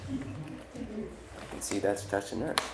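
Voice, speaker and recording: A man's voice: on the high side, wildly swooping pitch, slow pace, full character voice. A man playing a younger, incredulous police detective in a live table read, speaking emphatically. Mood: sympathetic, irritated. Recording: echoey room with clear background noise.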